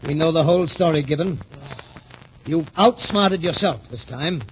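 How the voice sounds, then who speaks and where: An actor playing a middle-aged American major who heads a military academy, formal and emphatic, in 1940s radio drama style. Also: male voice, on the high side, normal speed, lightly acted. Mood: stern. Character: stern but appreciative major heading a boys' military academy. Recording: muffled, noisy 1940s radio recording.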